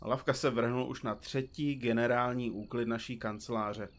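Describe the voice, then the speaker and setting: A man plays a theatrical male detective from a comedy radio play, dictating to his recorder. He is wordy and a little pompous, with a medium-pitched voice, and likes to tease. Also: level tone, close to an everyday voice. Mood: florid, wry. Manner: florid, pompous, teasing. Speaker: detective in a comedy mystery podcast. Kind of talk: audio drama acting with florid narration.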